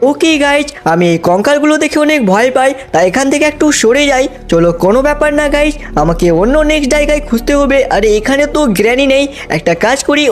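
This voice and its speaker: A gameplay narrator telling a cartoon story in an excited, exclamatory way, constantly addressing viewers. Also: high-pitched. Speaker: gaming video narrator playing the lead character.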